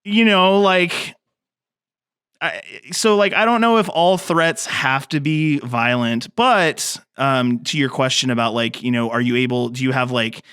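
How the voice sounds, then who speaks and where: Rambling thirty-something man, smooth voice. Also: mid-range voice, fairly even intonation, a little slow, fairly bright tone, no echo, clean studio sound. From grew up in the mountains. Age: 30s. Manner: rambling, lots of fillers and restarts. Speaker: filmmaker guest. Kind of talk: casual podcast interview.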